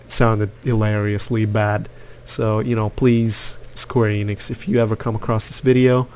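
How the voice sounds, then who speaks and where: A man's voice: lowish voice, level tone, moderate pace, a little mellow, a little hiss or hum. A young man from Lithuania giving rambling, opinionated commentary over his gameplay, with lots of fillers. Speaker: gaming youtuber.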